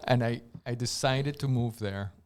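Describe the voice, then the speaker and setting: A man's voice: mid-range voice, a little slow, darkish tone, clear, resonant tone. A man from Colombia, a guest engineer, telling his story on a casual radio talk show with many restarts and repeated words.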